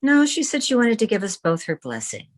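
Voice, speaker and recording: A woman's voice: on the high side, sing-song, fairly quick. A woman playing a composed, mischievous newspaper publisher in a radio comedy, speaking politely. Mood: breezy, composed. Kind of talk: old-time radio comedy acting. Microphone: video call.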